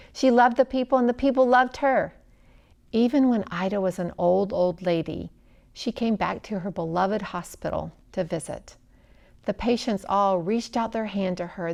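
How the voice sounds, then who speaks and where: A woman narrates a story in a dramatic, expressive storytelling style. Her medium-pitched voice has noticeable creak, and she lets the ends of phrases drop to a hush. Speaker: storyteller reading a missionary story to children.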